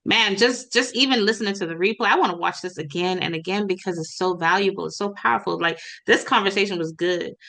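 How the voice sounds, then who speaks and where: Woman podcast host, bright edgy tone, enthusiastic and encouraging. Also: medium pitch, fast, few pauses. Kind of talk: casual interview talk.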